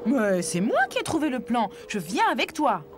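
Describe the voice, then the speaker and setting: A man as a high-voiced eager cartoon boy. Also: wildly swooping pitch, normal speed, background noise, exaggerated voice. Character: eager young boy adventurer, earnest and plucky. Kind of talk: dubbed low-budget cartoon dialogue. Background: music.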